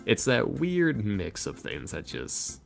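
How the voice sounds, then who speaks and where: A man's voice: lowish voice, wildly swooping pitch, slow, creaky, faint music behind. A man speaking as a podcast guest in a casual, reflective way, hesitant and self-deprecating as he rambles candidly. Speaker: podcast guest, ASMR roleplay audio creator. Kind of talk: casual reflective interview answers.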